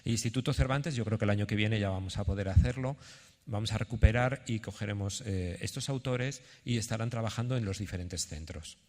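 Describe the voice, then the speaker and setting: Guy with a low voice presenting at a conference, kinda halting, explaining stuff, big echoey hall. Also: a little mellow. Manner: halting, explanatory, thinking aloud. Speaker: theatre institution representative presenting a project. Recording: echoey hall with long reverb.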